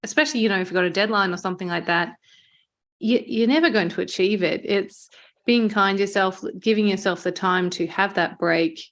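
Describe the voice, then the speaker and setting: A woman's voice: mid-range voice, fairly quick. A woman hosting a podcast, chatting conversationally in an encouraging, affirming way. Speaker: podcast host, marketing agency owner. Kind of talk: conversational interview chat.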